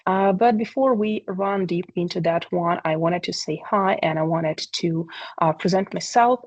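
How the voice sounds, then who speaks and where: A woman talks through a presentation on a video call. Her voice sits on the low side and sounds dry, with little room around it. She is earnest and hesitant, and she fills her pauses with "uh" and "like.". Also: a little mellow, husky, no echo, poor audio. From Ukraine. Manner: hesitant, many fillers, earnest. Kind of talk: online meeting presentation.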